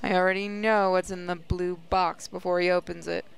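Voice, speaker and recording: A woman's voice: lowish voice, flat delivery, normal speed, vocal fry. A woman gaming host reading visual novel narration aloud, stumbling over the odd word as she goes. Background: prominent music.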